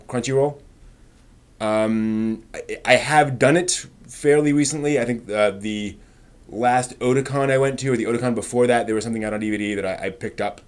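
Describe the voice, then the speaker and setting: A man's voice: medium pitch, a little mellow. Man casually rambling on a live stream. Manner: informal, rambling, thinking aloud.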